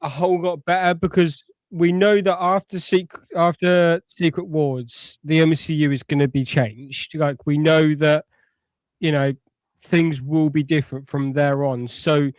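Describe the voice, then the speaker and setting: A man's voice: on the high side, smooth, no room sound. A man giving his opinions as a podcast co-host, in earnest, explanatory casual chat.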